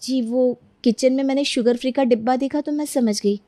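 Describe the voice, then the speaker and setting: A woman's voice: high voice, normal speed, dark tone, dry recording, voice pushed up, lightly acted. A woman voicing a meek, put-upon young housemaid who speaks timidly and deferentially in a cartoon story. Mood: timid, defensive. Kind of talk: Hindi cartoon story character dialogue.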